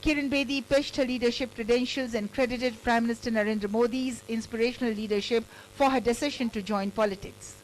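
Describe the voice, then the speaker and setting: A woman reporter with an Indian accent narrates a scripted news package in a fairly high voice. There is a faint hum behind her. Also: fairly even intonation, brisk, hardly any pauses, rough recording.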